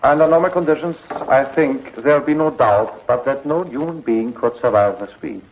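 An actor playing a thoughtful middle-aged scientist who lectures deliberately in a 1950s television space serial. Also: male voice, medium pitch, a little hiss or hum, lightly acted. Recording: muffled 1950s film soundtrack.

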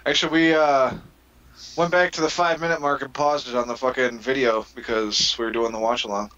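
Bright-voiced, wisecracking male co-host. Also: medium pitch, level tone, brisk, dull audio. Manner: wisecracking, profane, laughs at his own jokes. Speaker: podcast co-host. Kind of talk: casual wrestling watch-along chat. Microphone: online call.

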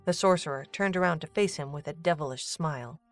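A woman's voice: low-pitched, fairly quick. A woman narrator reading a scripted story for an audio drama. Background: music.

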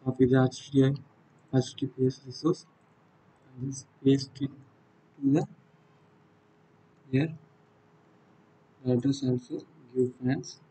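A man with a South Indian accent and a husky voice, giving an unscripted software walkthrough in halting, non-fluent phrases. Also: mid-range voice, steady tone, rough recording. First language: Tamil. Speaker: software demonstrator. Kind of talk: unscripted screen-recorded tutorial walkthrough.

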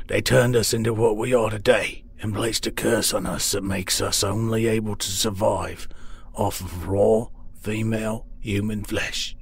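A man voicing a grotesque, remorseful man-eating beast in a fantasy horror story, speaking gravely and in measured phrases. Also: lowish voice, exaggerated voice. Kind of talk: dramatised fantasy horror audiobook.

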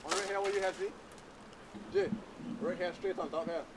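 A man's voice: high-pitched, steady tone, normal speed, background noise. A man hunting iguanas outdoors, talking in loose street slang and calling out directions to his crew. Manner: loose street slang, calls others brother and bro, barks directions. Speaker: iguana hunter leading a crew. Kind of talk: casual outdoor talk. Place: outdoors near trees.

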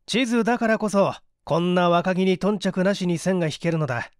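A man voicing a calm, self-assured gentleman detective in a story reading, measured and explanatory. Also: high-pitched, smooth, no echo, clean studio sound, voice pushed up, close to an everyday voice. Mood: knowing.

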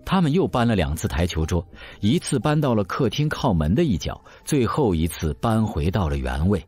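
A man's voice: fairly low pitch, sing-song, moderate pace, darkish tone, husky, soft background music. A man narrating an audio drama in a scripted storytelling style.

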